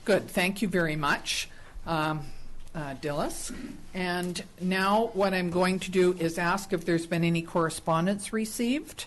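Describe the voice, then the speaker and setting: Woman running a council hearing, lowish voice, polite, lots of ums, faint hiss. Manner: polite, unhurried, with fillers. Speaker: meeting chair. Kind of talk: chairing a public hearing. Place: council chamber.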